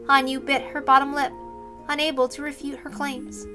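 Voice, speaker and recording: A woman narrates a dramatic visual novel in a high voice. She reads scripted story passages aloud over clearly audible music. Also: flat delivery, normal speed.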